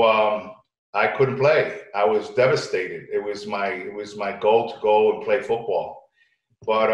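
Middle-aged man, lowish voice, halting casual storytelling, repeated words, echoey room. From Connecticut, United States. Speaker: podcast guest. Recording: echoey room, dull lo-fi sound.

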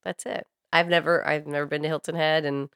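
A woman's voice: deep voice, level tone, a little fast, vocal fry, a bit bright, clear, resonant tone, no room sound, clean studio sound. An American woman telling personal stories in a casual, candid, informal way, with some swearing. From Saudi Arabia, raised by a US family on a compound. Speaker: podcast co-host. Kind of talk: casual chat, personal storytelling.

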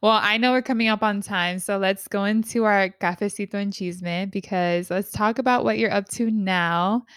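A woman's voice: mid-range voice, moderate pace, few pauses, fairly bright tone, clean tone, no echo, studio quality. A woman hosting a podcast interview, enthusiastic and upbeat, laughing often with her guest. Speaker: podcast host. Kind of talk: casual interview chat.

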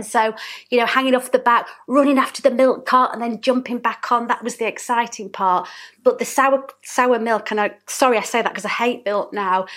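A woman from South Yorkshire talks with a clear Yorkshire accent, her voice sitting a little high for a woman. She tells stories in an easy, chatty way, leaning on the odd "um" as she goes. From South Yorkshire, England. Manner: chatty, warm storytelling with frequent ums. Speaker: guest, business owner. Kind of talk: relaxed interview conversation.

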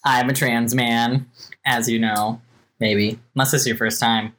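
A man's voice: medium pitch, little pitch movement, a little slow, fairly bright tone. A man of twenty-six co-hosting a casual group podcast with a wry, joking manner. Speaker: podcast co-host.

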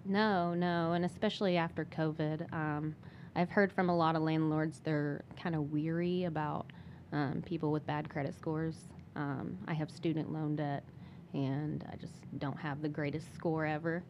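A young woman with a fairly low voice talks about herself in a casual interview style. There is a faint bed of background noise and ambience behind her. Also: flat delivery, measured pace, muffled recording. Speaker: podcast interview guest. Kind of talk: casual personal chat.

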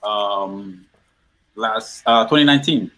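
A man's voice: on the low side, slow pace, fairly bright tone. Guyana-born man chatting as a show guest. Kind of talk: casual chat.

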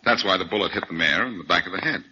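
An actor playing a cocky, quick-witted amateur detective in a 1940s radio drama, breezy and self-assured, on an old recording. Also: male voice, deep voice, sharp tone, lo-fi sound, slight background noise. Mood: assured, insistent.

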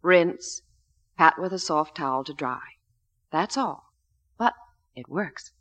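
Casting: female 1940s radio reporter and pitchwoman, American, lively intonation, slightly low register, scripted delivery. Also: slow pace. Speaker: Hollywood reporter and soap pitchwoman.

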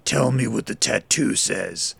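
A man puts on a huge, unhinged biker from a short story. The voice is a gravelly growl, commanding and full of slow menace. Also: lowish voice, a bit bright, full character voice. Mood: menacing, commanding. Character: big burly menacing biker gone manic, commanding.

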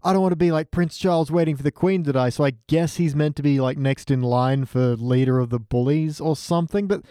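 Mellow-voiced man chatting on a podcast. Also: on the high side, a little fast, clean tone, no echo, clean studio sound. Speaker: podcast guest. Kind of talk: casual review chat about a TV cartoon.

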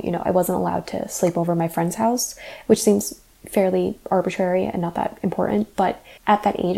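Young woman, from the US, lowish pitch, gravelly, personal reflective narration. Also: warm tone. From United States. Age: young adult. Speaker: college student sharing a personal story.

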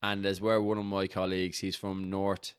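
A man's voice: low-pitched, completely flat delivery, moderate pace, clean tone, no echo, clean studio sound. A man with a Dublin accent hosting a podcast, chatting loosely and casually with his guest. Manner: chatty, loose, friendly asides. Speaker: podcast host. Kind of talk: casual interview chat.

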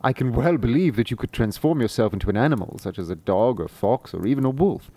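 A man voicing a clever, scheming talking cat in boots, bossy with peasants and flattering to a sorcerer. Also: mid-range voice, fast, dry recording. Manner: bold, coaxing, commanding.

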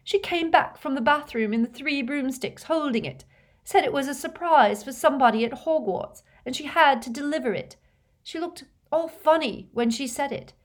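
A woman's voice: sits high, normal speed, pitched above the performer's own voice, close to an everyday voice. A woman voicing a distraught teenage schoolgirl who is crying as she talks, in a storybook reading. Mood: tearful, shaken.

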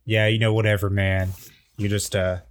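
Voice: low voice, level tone, normal speed, slight background noise, close to an everyday voice. A man voicing a laid-back alien teenage skater kid who talks like a drawling surfer dude. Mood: chill.

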